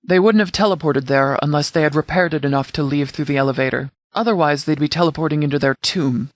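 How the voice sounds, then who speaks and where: A man's voice: on the high side, dry recording, close to an everyday voice. Man playing a formal sheriff, dry close sound. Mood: serious, measured. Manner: formal, measured, authoritative. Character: stern, formal sheriff and military commander. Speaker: sheriff and commander. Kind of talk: scripted game dialogue, mission briefing.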